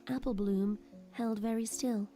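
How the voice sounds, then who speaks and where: A woman's voice: a little high, fairly even intonation, a little slow, warm tone. Woman narrating drama over prominent music. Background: prominent background music.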